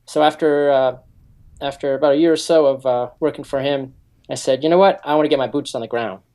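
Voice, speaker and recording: A man's voice: medium pitch, fairly quick, mellow. A man in his forties, a security consultant, earnestly explaining his background in a radio interview with frequent ums. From United States. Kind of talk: interview answers.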